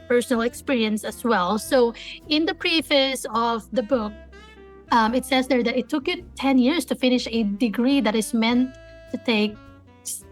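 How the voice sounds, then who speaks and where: A young woman with a Filipino accent, hosting a podcast interview in a friendly, chatty way with many fillers. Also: a little high. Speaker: podcast host.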